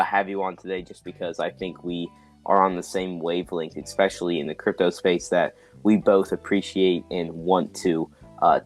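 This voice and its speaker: A man with a very deep voice hosts a relaxed podcast interview. He is upbeat and encouraging, often repeating his approval, and faint music runs beneath his voice. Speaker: podcast host.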